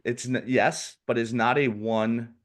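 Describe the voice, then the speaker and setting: A man in his forties, a financial advisor being interviewed on a podcast, who talks in a lively way and acts out what other people might say. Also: mid-range voice, unhurried. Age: 40s. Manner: animated, acts out quoted people in put-on voices.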